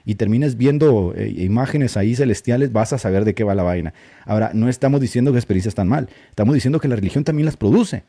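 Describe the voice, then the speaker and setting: A man's voice: mid-range voice, mellow, slightly rough. A man co-hosting a live talk show, speaking, colloquial and emphatic. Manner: colloquial, emphatic, talks fast. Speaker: podcast co-host. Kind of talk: casual live discussion.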